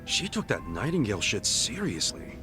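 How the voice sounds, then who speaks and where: Earnest, low-voiced American buddy. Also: male voice, wildly swooping pitch, normal speed. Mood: sympathetic. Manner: blunt, colloquial, earnest. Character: earnest, sympathetic, plain-spoken male buddy listening. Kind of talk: video game dub, conversational. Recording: music behind the voice.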